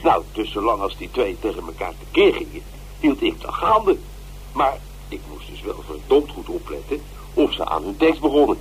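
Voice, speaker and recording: A man's voice: fairly low pitch, big pitch swings, normal speed, a little hiss or hum, rough recording. An actor playing a coarse, gossipy male stagehand in a radio drama, blunt and colloquial. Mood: gleeful. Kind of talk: naturalistic Dutch radio drama dialogue.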